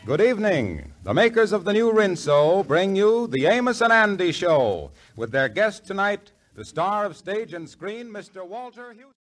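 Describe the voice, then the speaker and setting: A man's voice: sits high, sing-song, normal speed, few pauses. A man announcing an old-time radio show with a grand showman's delivery.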